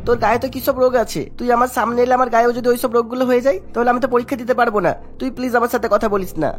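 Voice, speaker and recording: A man's voice: very high voice, steady tone, racing. A man voicing a timid, fussy teenage schoolboy in a cartoon. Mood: fearful, accusing.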